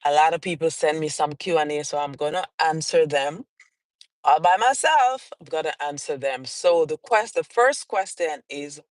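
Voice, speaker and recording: A woman's voice: sits low, no echo. A Jamaican woman author answering viewer questions on a casual livestream, repeating phrases as she goes and greeting viewers. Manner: slow and unhurried, repeats and restarts phrases, greets live viewers.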